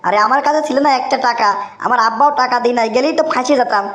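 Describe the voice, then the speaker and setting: Cartoon schemer, broke young guy with a Bogura accent, voiced by a woman; high and rapid. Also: fairly bright tone, lightly acted. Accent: Bogura dialect of Bangla. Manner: fast, whiny and wheedling, comic rants. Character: scheming broke young man, boyfriend and son.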